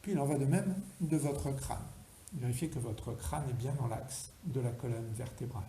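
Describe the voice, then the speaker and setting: A man guiding a relaxation meditation as a yoga instructor, speaking slowly and deliberately, with pauses between instructions. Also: medium pitch, warm tone. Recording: roomy echo, faint background noise.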